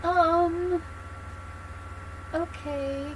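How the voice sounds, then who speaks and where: A woman's voice: very high voice, steady tone, very slow, a little hiss or hum, low-quality recording. A woman live-streaming a video game with casual, off-the-cuff reactions. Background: loud music.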